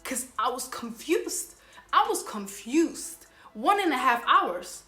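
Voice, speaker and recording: A woman's voice: sits high, very animated, slow pace, pushed and bright. Exasperated young woman telling a story. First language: Somali. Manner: rambling, exasperated retelling with self-corrections. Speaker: vlogger. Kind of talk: casual storytime. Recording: roomy echo with faint background music.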